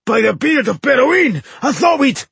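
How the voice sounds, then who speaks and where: A man voicing a boastful, hot-blooded dwarf warrior in a storybook, exclaiming forcefully in a husky voice. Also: a little high, very animated, measured pace, dry recording, pitched above the performer's own voice, heavily acted. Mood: triumphant, boastful.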